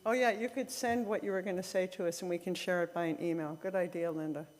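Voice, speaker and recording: A woman leading a church service, speaking informally and a little haltingly to the congregation. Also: fairly low pitch, fairly even intonation, darkish tone. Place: church sanctuary, indoors. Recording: echoey hall with long reverb.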